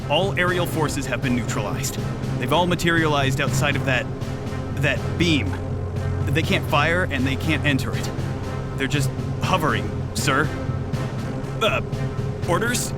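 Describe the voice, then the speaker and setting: A male actor plays a rattled military subordinate in an audio drama. His voice is a little high as he reports to a superior. Loud cinematic music plays behind him. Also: unhurried, pauses often, lightly acted. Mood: uneasy.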